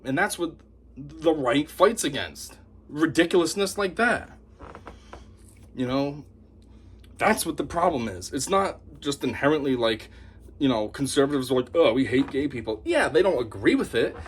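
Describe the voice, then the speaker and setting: A man giving an opinionated monologue to camera as a commentator, talking in a scornful, animated way. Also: on the high side. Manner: animated, scornful, mocks opponents in put-on voices. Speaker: YouTube commentator.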